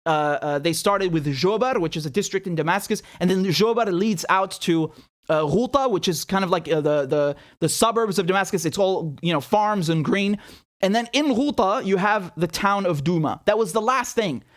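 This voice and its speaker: A man in his thirties, born in Syria, hosting his own commentary show in a small studio, talking straight to camera into a boom mic with indignant, sarcastic energy and frequent stumbling repeats. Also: normal speed. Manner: indignant, sarcastic, repeats words when worked up. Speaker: political commentator host. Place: small indoor studio room. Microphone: studio mic on boom arm.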